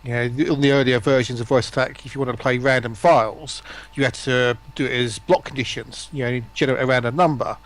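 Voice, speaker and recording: A man with a mid-range voice joins a relaxed online discussion as a guest. He speaks conversationally, as in an informal group chat. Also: mid-range voice, fairly quick, hardly any pauses. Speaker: stream guest. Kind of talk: casual stream discussion.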